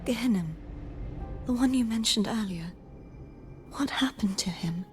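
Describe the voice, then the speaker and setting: Woman playing a solemn, high-voiced young game warrior. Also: unhurried, music in the background, close to an everyday voice. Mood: solemn, subdued. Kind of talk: video game voice acting.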